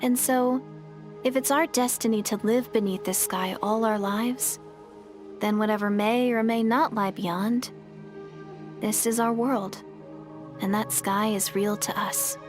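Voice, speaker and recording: A woman's voice: on the high side, fairly even intonation, a little slow, natural voice. An actress playing an earnest, dreamy young village woman adventurer in a video game, speaking sincerely. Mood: wistful. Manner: sincere, wistful storytelling. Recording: music playing behind.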